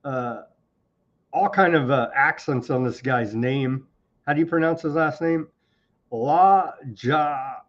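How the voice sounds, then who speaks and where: A man's voice: on the high side, slow pace, highs cut off. A man hosting a live online news commentary show, sarcastic and scornful as he rants through the headlines. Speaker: political commentary host.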